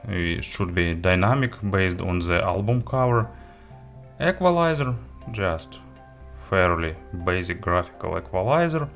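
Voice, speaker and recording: A man with a low-pitched voice reviews tech in English that is not his first language. He talks casually and haltingly, correcting himself as he lists features, with faint music behind him. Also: a bit bright. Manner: halting, hesitant delivery with self-corrections and listed items. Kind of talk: casual product walkthrough, unscripted.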